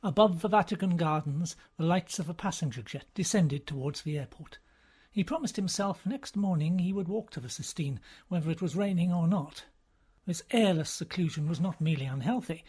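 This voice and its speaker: A man reading a novel aloud as scripted narration, in a measured, formal storytelling manner. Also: a little high, expressive, a little mellow, slightly rough. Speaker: audiobook reader.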